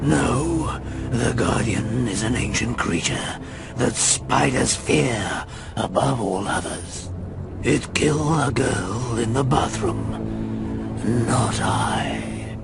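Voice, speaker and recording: An actor playing an ancient giant male spider in a video game, grave and menacing, with a gravelly, halting, ominous delivery. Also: medium pitch, expressive. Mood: grave, solemn. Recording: loud music under the voice.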